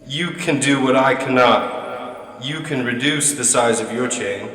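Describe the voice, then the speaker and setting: Slow, echoing man playing a mournful chained ghost. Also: mid-range voice, a bit bright. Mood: urgent warning. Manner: slow, solemn, drawn-out delivery. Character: mournful chained male ghost, solemn and warning. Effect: heavy ghostly reverb. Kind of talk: stage-like radio drama acting. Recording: echoey long reverb, distant voice.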